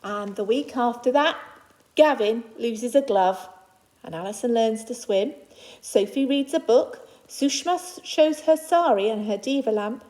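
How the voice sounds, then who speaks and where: Woman teacher reading a picture book to little kids, highish soft-toned voice, simple reassuring way of talking. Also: poor audio. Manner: simple, child-directed delivery with deliberate phrasing and reassuring asides. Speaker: infant school teacher reading aloud to new pupils. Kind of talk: children's picture book read aloud with personal asides.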